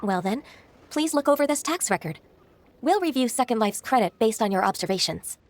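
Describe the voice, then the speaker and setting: An actress playing a gentle, composed and shrewd young secretary in a video game, speaking politely and thoughtfully. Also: female voice, fairly high pitch, expressive, a little fast, a little hiss or hum. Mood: coolly polite.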